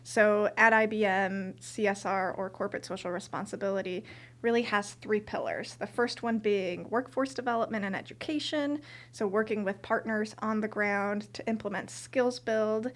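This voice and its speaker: A woman from Colombia explaining her work in a clear, organized way. Her delivery is steady and well structured. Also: medium pitch, moderate pace. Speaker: corporate social responsibility manager. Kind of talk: interview answers.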